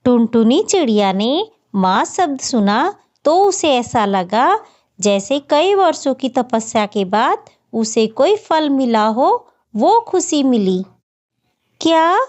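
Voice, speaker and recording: Slow, high-voiced woman storyteller. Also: sing-song, darkish tone. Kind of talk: scripted children's story narration. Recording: dull, muffled lo-fi audio.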